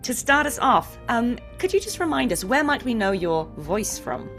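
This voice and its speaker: A woman's voice: fairly high pitch, sing-song, moderate pace, a bit bright. A woman hosting an interview show in a casual, conversational style. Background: faint music.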